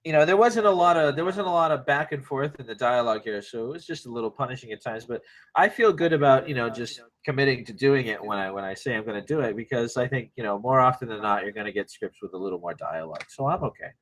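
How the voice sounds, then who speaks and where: A man who reads in a table read, chatting casually in a halting way. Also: medium pitch, fairly bright tone, low-quality recording. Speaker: script reader. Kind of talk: casual chat.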